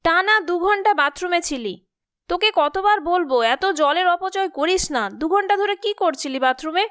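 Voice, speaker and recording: A woman's voice: extremely high pitch, expressive, normal speed, fairly bright tone, dry recording, studio quality. A woman voicing a nagging, exasperated middle-aged mother in a cartoon, scolding and fretful. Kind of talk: cartoon story acting.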